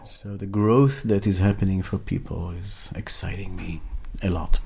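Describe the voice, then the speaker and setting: Earnest man from Israel, low warm voice. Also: fairly even intonation, moderate pace, vocal fry, husky. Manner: earnest, searching for words, many fillers and restarts. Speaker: workshop facilitator, guest. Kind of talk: interview conversation.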